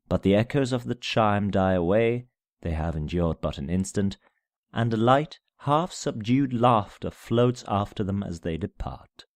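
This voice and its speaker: A man with a fairly low voice narrates a gothic tale in a dry, close sound. His delivery is measured and theatrical, with solemn weight and hushed drops. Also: dry recording. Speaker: amateur reader of a short story. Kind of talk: dramatic scripted narration of a gothic horror tale.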